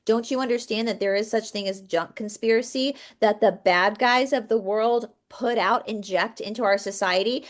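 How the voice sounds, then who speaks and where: A woman co-hosting an online chat, speaking with passion and rambling from one thought to the next. Her voice sits at a middling pitch, and she often starts a sentence over. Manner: passionate, fast, rambling with restarts. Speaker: co-host. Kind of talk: casual video chat.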